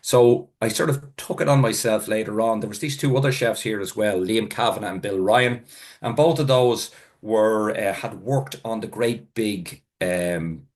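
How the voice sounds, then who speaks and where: A man's voice: lowish voice, moderate pace, darkish tone. A middle-aged Irish man, a native Irish speaker, giving an informal talk as a food historian, rambling and correcting himself often. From Ireland. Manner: rambling, self-correcting storyteller with many fillers. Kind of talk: informal lecture with anecdotes.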